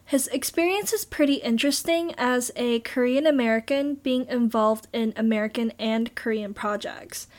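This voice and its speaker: A woman with a high, light voice narrating a video essay. She reads her script in an even, steady manner. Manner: steady, read-aloud delivery.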